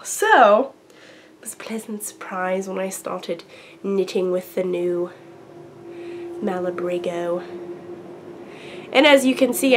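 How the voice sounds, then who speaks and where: Young female host, congested, casual and chatty, mid pitch. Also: a little hiss or hum. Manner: chatty, lots of ums and likes. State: congested, getting over a cold. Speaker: knitting podcast host. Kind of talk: casual chat to camera.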